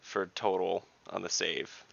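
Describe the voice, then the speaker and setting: Gravelly low-voiced man playing a game. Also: fairly even intonation, a little slow, a bit bright, dry recording, highs cut off. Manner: casual, asks rule questions. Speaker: player. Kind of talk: casual tabletop game talk.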